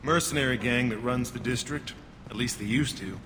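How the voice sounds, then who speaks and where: Game role: cynical alien quarantine guard male actor, mid-pitched, dry and dismissive, reverberant with noisy ambience. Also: normal speed, natural voice. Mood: matter-of-fact. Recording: reverberant, with loud background noise.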